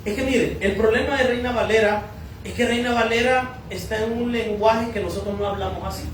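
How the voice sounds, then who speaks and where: A Salvadoran pastor with a high voice preaches in a big echoing church hall, heard from some distance off the mic. He teaches by asking questions and answering them himself, with a faint room hum behind him. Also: steady tone, brisk. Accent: Salvadoran Spanish. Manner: teaching, asks and answers his own questions. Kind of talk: sermon. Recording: echoey church hall from far off.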